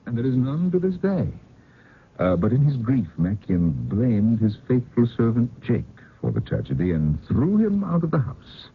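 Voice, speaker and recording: An actor playing a haunted older man, telling ghost tales in a halting, dramatic old-time radio style with muttered pauses. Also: mid-range voice, wildly swooping pitch, slightly rough, heavily acted. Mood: grave storytelling. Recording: muffled phone-like radio recording, faint noise.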